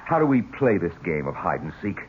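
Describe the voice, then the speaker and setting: A scornful man in his thirties, with a lowish voice that swings widely. He is sarcastic and impatient, in 1970s radio drama style on a tinny recording. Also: very animated, fairly quick. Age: 30s. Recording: tinny, faintly noisy old radio recording.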